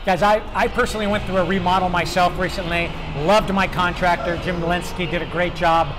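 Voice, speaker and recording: Upbeat high-voiced man on a patio. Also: fairly even intonation, a little fast, noisy background. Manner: upbeat, chatty, many fillers. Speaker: host. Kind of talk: casual restaurant review chat. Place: outdoor brewery patio. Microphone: lapel. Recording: music playing behind.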